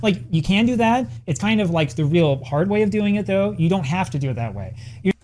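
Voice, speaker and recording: A man delivering a scripted video essay with language-learning advice, in a brisk, earnest manner. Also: high voice, big pitch swings, crowd noise.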